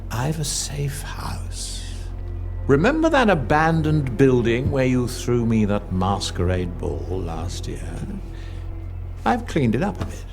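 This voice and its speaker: A menacing old general in a video game drama, slow and gravelly. His delivery is theatrical and insinuating, swinging widely in pitch, with a possessive, wistful edge. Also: big pitch swings, soft background music. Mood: calculating. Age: older.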